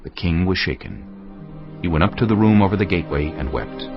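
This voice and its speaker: Very deep-voiced male scripture narrator. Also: big pitch swings, a little fast, vocal fry, over music. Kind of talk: dramatized audio Bible narration. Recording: dull lo-fi audio.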